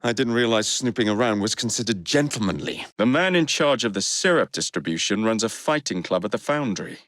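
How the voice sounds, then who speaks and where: Video game rogue: young English assassin, cocky and teasing, lowish voice, very animated delivery, dry close recording. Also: male voice, fairly quick, no echo. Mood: brisk, purposeful. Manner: flippant, sardonic, teasing. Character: cocky, wisecracking young male assassin and gang leader. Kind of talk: video game character dialogue.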